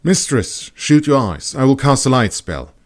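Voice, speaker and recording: A man's voice: medium pitch, big pitch swings, a little slow, pitched above the performer's own voice. A man voicing a keen, earnest young woman, an astrologer's student, in an audiobook, explaining things eagerly. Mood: urgent, resolute. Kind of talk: audiobook character dialogue.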